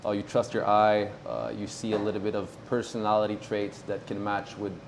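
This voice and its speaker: Low-voiced young man in casual chat. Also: creaky, a little hiss or hum. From Morocco. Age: 20s. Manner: relaxed, halting, many fillers and restarts. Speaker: guest, pro tennis player.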